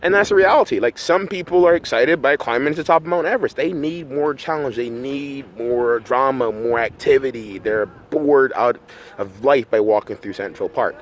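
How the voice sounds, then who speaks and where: A man's voice: mid-range voice, normal speed. A middle-aged man from Barbados, a numerology coach, talking casually to camera outdoors in a park and often asking "right?". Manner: conversational, often tags "right?". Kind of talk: casual vlog monologue. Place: outdoors in a park by road works.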